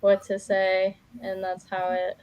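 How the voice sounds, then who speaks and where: A woman's voice: medium pitch, completely flat delivery, slow, a little mellow, smooth. A woman doing a casual live tarot reading as a guest, chatty and informal, feeling her way with plenty of "like". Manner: informal, chatty, hesitant phrasing with frequent "like".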